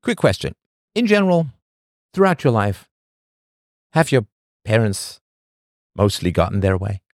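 A man hosting a podcast, reading a scripted monologue full of rhetorical questions and emphatic pauses. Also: fairly low pitch, big pitch swings, a little mellow, dry recording, studio quality. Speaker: podcast host.

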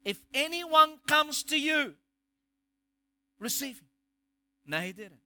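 Egyptian accent guy preaching, really high voice, emphatic, restarts a lot. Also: lively intonation, slow pace, sharp tone, no room sound. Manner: emphatic, rhetorical questions, frequent restarts. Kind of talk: sermon on scripture.

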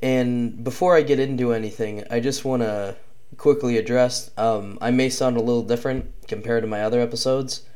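A young man hosting his own podcast, talking casually and rambling with many pauses and restarts, with a slight cough. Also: medium pitch, steady tone, a little mellow, clear, resonant tone. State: slight cough, chest feels off. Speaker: podcast host. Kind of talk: casual solo podcast talk.

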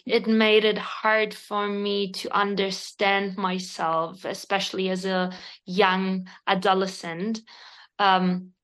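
A woman from Spain with a Spanish accent, a native Spanish speaker in her thirties, talking as a podcast guest in a direct, expressive way with hesitant fillers. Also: medium pitch, slow, clear, resonant tone. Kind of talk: casual interview conversation.

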